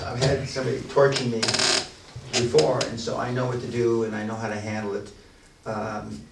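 A man's voice: medium pitch, background noise. A man leading a business seminar, talking bluntly and boastfully with plenty of profanity and restarts. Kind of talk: unscripted seminar talk to a room. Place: large echoey room at a seminar venue. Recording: echoey room, distant mic.

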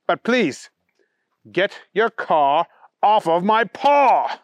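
An indignant, self-important male bunny from a children's story, acted out by a man. He speaks high and animated, lecturing in prim, formal phrases as if deeply put upon. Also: very slow, dry recording, dull audio, exaggerated voice. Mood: insistent, aggrieved. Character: indignant, fussy, pompous talking Easter Bunny, male.

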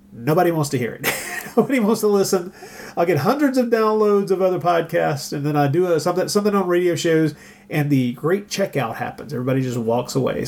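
Wry man with a higher-pitched voice, podcast host. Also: darkish tone. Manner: wry, self-deprecating, joking. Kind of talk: casual chat.

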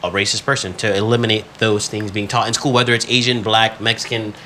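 A man co-hosting a podcast, giving his opinions in a casual but measured, agreeable way. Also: on the low side, little pitch movement, pushed and bright. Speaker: podcast co-host. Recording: faint background noise.

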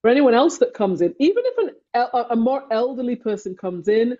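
A woman from England with an English accent, a podcast guest talking things through slowly and thoughtfully with metaphors. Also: a little high, very animated, dark tone, muffled recording. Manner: slow, thoughtful, uses metaphors. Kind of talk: reflective conversation.

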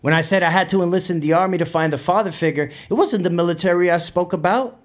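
An actor as a proud, high-voiced Nuyorican bandleader. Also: male voice, fast, lightly acted. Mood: reflective, proud. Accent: New York Puerto Rican. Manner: impassioned storytelling, slipping between English and Spanish. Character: proud, fiery Nuyorican percussionist and bandleader from the Bronx. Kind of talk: dramatic theatrical monologue for audio drama.

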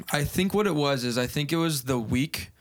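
A man's voice: a little high, animated, normal speed. A man hosting a casual podcast interview, telling a personal story in a meandering way with lots of fillers. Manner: rambling storytelling with many fillers. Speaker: podcast host.

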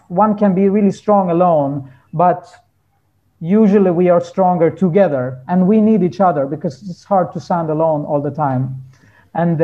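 A man talking reflectively as an interview guest, earnest and halting as he searches for his words. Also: on the high side, narrow, tinny audio. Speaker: guest musician.

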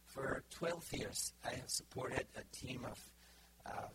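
A middle-aged man from Bulgaria with a Bulgarian accent, giving an informal sermon to a congregation, pausing often with fillers and restarts. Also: mid-range voice, heavy background noise. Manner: halting, many fillers and restarts. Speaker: preacher.

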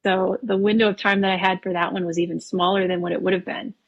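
A woman from Wisconsin in her thirties, a painter talking casually as a podcast guest, relaxed and chatty with frequent ums. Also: mid-range voice, fast, highs cut off. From Wisconsin, USA. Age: 30s. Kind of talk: casual interview conversation.

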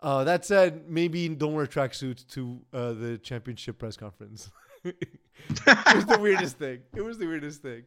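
A man co-hosting a casual sports talk show, rambling and laughing as he goes, often trailing off quietly. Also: a little high, animated, normal speed. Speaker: podcast co-host.

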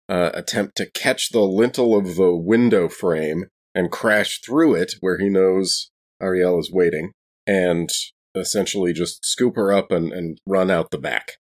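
Low-voiced man playing a tabletop game. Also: takes it slowly. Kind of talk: casual game-table talk, describing his character's actions.

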